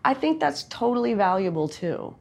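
A woman with a nasal, loud voice talking candidly and chattily in a casual interview, with quick asides. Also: a little high, very animated, creaky. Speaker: songwriter and recording artist guest.

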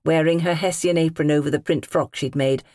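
A woman with a British accent reading literary fiction as an audiobook narrator, measured and carefully phrased. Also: sits low, fairly even intonation, mellow, no echo, clean studio sound. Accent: British English. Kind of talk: scripted literary narration in character.

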